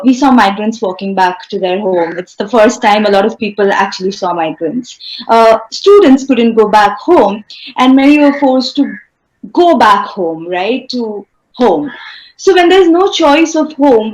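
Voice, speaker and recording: A woman's voice: fairly high pitch, rough recording. A woman moderating a panel over a video call, posing questions with some fillers. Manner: apologetic, asks questions with fillers.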